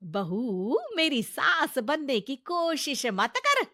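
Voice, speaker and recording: Woman as quarrelsome older mother-in-law for a comic family drama; blunt, stubborn, forceful bright delivery, close dry sound. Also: high voice, very animated, extremely slow, no echo, heavily acted. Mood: defiant, irritated. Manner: scolding, defiant, blunt. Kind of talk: acted Hindi comic family story.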